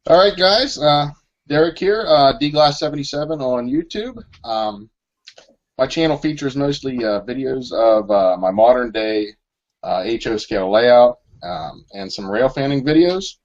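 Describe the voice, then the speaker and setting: A man's voice: medium pitch, animated, unhurried. A man in his thirties introducing himself on a video call, hesitant and modest, with many fillers. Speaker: guest modeler. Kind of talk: casual self-introduction. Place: wood-paneled room at home. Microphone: webcam on a video call. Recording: muffled video-call audio.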